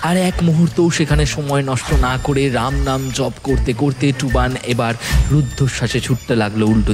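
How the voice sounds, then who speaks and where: A man narrating a scripted horror audio story in a suspenseful, theatrical way. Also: medium pitch.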